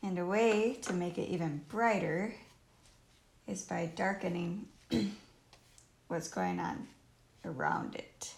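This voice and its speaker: Croaky woman teaching painting, slow and roomy. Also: on the low side. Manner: thinks aloud in short phrases while painting. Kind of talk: casual live painting tutorial. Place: indoors at home, live stream while painting. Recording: roomy live stream with faint noise and clicks.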